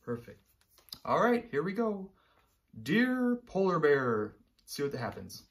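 Animated man reading a storybook to children. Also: a little high, takes it slowly. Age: 30s. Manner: animated, encouraging, addresses young children directly. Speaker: teacher reading aloud to young children. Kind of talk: children's storybook read-aloud.

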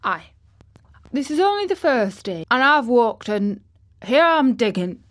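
Woman as bossy little rajah of a boy, emphatic, eager, higher-pitched, storybook voice. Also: very animated, measured pace. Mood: exultant. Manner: lordly, emphatic, giving orders. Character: imperious young rajah-like boy, eager and commanding.

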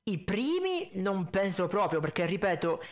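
A man's voice: sits high, sing-song, unhurried. A man in his twenties doing critical reaction commentary into a close desk microphone, blunt, pedantic and sarcastic. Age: 20s. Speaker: reaction video host. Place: indoor home streaming setup. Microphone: close desk condenser mic with pop filter.

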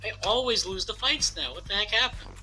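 A young person excitedly chatting and yelling with friends while gaming. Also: mid-range voice, fairly quick, soft background music, rough recording. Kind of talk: casual gaming chat with friends.

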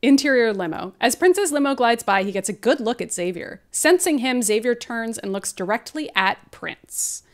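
High-voiced woman reading a script. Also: lively intonation, normal speed, few pauses. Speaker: table-read narrator. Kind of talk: reading script stage directions with casual asides. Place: home room on a group video call.

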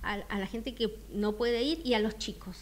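A woman's voice: mid-range voice, normal speed. A middle-aged woman with a Buenos Aires accent, talking casually as a radio interview guest, hesitant and agreeable. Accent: Buenos Aires Argentine. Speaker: interview guest, art expo organizer. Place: small radio studio. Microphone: close studio microphone with headset.

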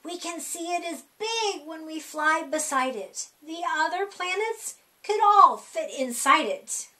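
A middle-aged woman reading a rhyming children's picture book aloud in an animated, sing-song, deliberate way for young kids. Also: very high voice. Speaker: library storytime reader. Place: small indoor room.

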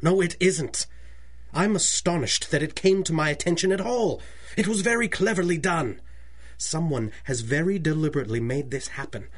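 An older male bank president in an old-time radio play, speaks in a slightly high voice. He is formal and fussy, deferential to his client yet plainly anxious. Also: animated, moderate pace, breathy edge. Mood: grave, puzzled. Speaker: actor in an audio drama. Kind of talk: 1930s-style radio drama acting.

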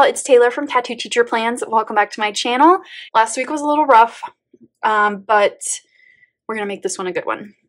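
A woman in her thirties hosting a planner channel, chatting casually and upbeat while setting up a weekly spread. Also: on the high side. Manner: chatty, upbeat, laughs often. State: voice lower than usual today. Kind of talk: casual plan-with-me voice-over.

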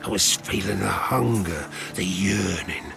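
Old ruined gambler and harpooner, British accent, low gravelly voice, rueful, rustic tavern storyteller, audio drama. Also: male voice, unhurried, full character voice. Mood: haunted, regretful. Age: older. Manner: folksy, rustic, rambling tavern yarn-spinning. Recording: studio drama with music behind. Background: music.

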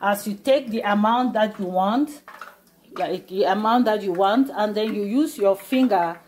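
A woman's voice: medium pitch, measured pace, a little mellow, a little hiss or hum. Chatty woman explaining a home recipe. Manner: chatty, explains step by step with many fillers. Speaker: cooking vlogger. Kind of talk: casual cooking demonstration. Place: home kitchen.

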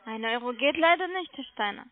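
A woman telemarketer making a sales pitch over the phone, persistent and polite, coaxing with check-back questions. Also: expressive, a little fast. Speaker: telemarketing caller selling online trading. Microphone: telephone call. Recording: muffled phone line.

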